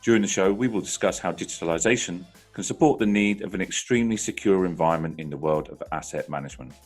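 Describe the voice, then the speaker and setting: A man hosting a podcast, reading a scripted intro and then asking questions in a measured way. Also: low-pitched, soft background music. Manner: measured, brief backchannels. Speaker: podcast host.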